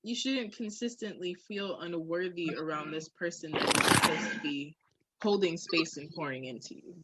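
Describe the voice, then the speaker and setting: A woman's voice: lowish voice, moderate pace, fairly bright tone, breathy edge, low-quality recording. A woman in a candid, casual podcast conversation, speaking reflectively and emphatically with slang. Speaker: podcast co-host.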